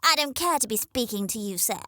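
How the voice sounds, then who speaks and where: A woman plays a proud, easily offended mother hen from a children's storybook. The voice is pushed up high and loud, with a prim, bossy, self-important delivery. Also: lively intonation, a little fast, no echo, clean studio sound. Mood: proud, huffy.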